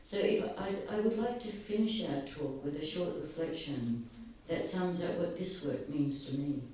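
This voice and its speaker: A soft-spoken man giving an earnest, halting public talk, often pausing. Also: fairly high pitch, lively intonation. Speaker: speaker at a gathering. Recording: very echoey, distant, faint ambience.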